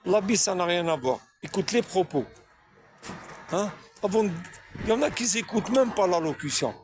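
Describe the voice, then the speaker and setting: A man's voice: high voice, lively intonation, moderate pace, music in the background. A man born in France giving a spontaneous religious monologue, preaching and throwing rhetorical questions at his viewers, repeating himself.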